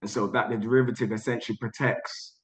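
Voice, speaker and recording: A man's voice: mid-range voice, steady tone, fast. A man taking part in a casual online debate, plain-spoken and firm. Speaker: participant in an online discussion. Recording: dull, lo-fi online call audio.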